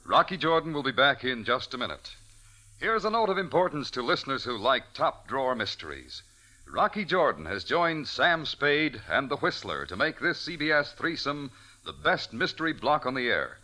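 A man's voice: medium pitch, sing-song, normal speed, sharp tone. A man announcing a network radio promotion in a polished, emphatic 1940s style.

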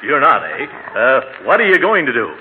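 An actor playing a pompous, stubborn middle-aged uncle who blusters and chuckles in a 1940s radio comedy. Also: male voice, medium pitch, wildly swooping pitch, normal speed. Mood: blustering, indignant. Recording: muffled, noisy old radio recording.

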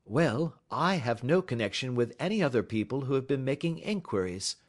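A man voicing a shrewd, calm detective who speaks in a measured, offhand way in an audiobook story. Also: medium pitch, voice pushed up. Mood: cool. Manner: calm, measured, careless-seeming. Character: cool, shrewd male detective, middle-aged.

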